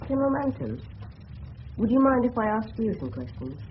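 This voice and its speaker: Well-mannered english teen lad, earnest and candid, old radio play, muffled with a bit of hiss. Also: female voice, a little high, sing-song, normal speed. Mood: curious. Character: polite, observant teenage English schoolboy. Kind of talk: 1970s radio drama acting. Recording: dull, noisy old radio broadcast recording.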